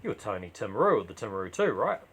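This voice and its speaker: A man's voice: on the low side, big pitch swings, fast talker, background noise. A man voicing a serious male Uber driver and fight fan, intense and clipped, in a crime story. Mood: probing.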